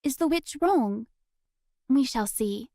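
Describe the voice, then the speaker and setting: Woman playing a high-voiced, solemn little witch girl. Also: lively intonation, mellow, no room sound, studio quality, full character voice. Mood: ominous calm. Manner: formal, measured, matter-of-fact phrasing. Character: solemn, mysterious young witch girl, calm and oracular. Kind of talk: visual novel character acting.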